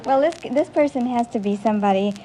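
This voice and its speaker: A woman's voice: fairly high pitch, quick pace, over a noisy crowd, heavy background noise. A woman answering politely and earnestly as a quiz show contestant on a vintage broadcast. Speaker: contestant, nursery school teacher. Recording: vintage broadcast, audience in background.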